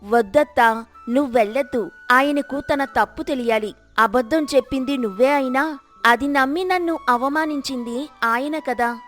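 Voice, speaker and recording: Woman playing a high-voiced young village wife. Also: normal speed, a bit bright, faint music behind. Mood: calm defiance. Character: young wronged village wife, proud and self-assured. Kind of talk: storybook character dialogue.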